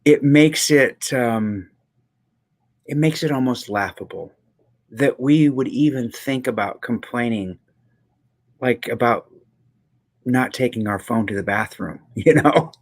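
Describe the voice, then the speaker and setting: Earnest, mid-pitched male podcast co-host. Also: slow, pauses often. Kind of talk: casual, reflective conversation.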